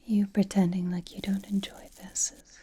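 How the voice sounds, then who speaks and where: A woman voicing a flirtatious, teasing, possessive vampire in a soft ASMR roleplay, whispering breathily close to the microphone. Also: medium pitch, level tone, slightly rough. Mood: tender, flirtatious. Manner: slow, soothing, coy teasing.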